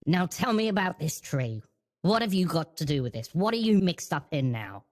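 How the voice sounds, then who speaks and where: A wary magical mentor played by a woman in a tabletop roleplay, speaking in a fairly low register. The manner is brisk and scolding, always urging caution. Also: sing-song, a little mellow. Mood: suspicious. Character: wary adult woman teacher, secretly a magical king. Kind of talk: improvised tabletop roleplay in character.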